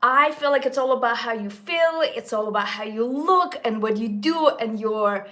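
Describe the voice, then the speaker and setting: A woman's voice: fairly high pitch, lively intonation, normal speed, fairly bright tone. A woman almost forty, chatting casually to her viewers as a beauty vlogger, gushing about products with little slips and restarts. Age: 30s. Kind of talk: casual makeup talk to viewers.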